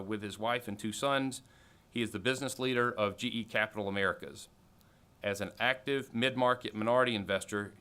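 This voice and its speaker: A man's voice: fairly low pitch, pushed and bright, clear, resonant tone. A man in his forties at a podium giving a formal introduction of a guest speaker in a large auditorium, reading from notes with frequent fillers. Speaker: alumni board member introducing speaker. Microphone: podium microphone.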